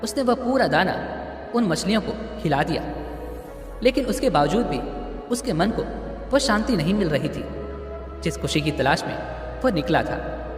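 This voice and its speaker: Expressive male storyteller, reverb and music. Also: high-pitched, moderate pace, long pauses. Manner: earnest, expressive storytelling with rising emphasis. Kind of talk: scripted narration of a moral story. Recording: roomy reverb with music underneath. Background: background music.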